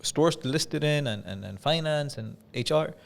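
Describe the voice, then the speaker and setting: A man from Dubai speaking as an interview guest, telling stories in a measured, conversational way with pauses and repeated phrases for emphasis. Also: a little high, lively intonation, gravelly. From Dubai, UAE. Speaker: podcast guest, business coach.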